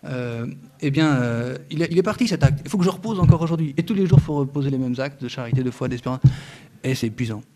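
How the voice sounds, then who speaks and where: A man in his thirties gives an earnest religious talk to an audience. His voice sits at an ordinary middle pitch for a man, and his loudness rises and falls as he presses his points. He stumbles often on fillers and restarts, with dry touches of humor. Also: animated, fast. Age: 30s. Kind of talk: spoken religious teaching to an audience.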